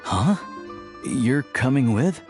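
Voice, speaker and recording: A man's voice: medium pitch, animated, slow, a little mellow, faint music behind. A man voicing an easygoing, friendly young hunter in a video game, with a casual, wry manner. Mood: amused, wry.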